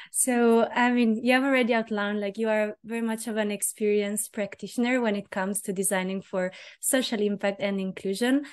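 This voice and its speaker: A young adult woman, the interviewer, welcoming her guest and asking friendly, curious questions over a video call with headphones. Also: mid-range voice.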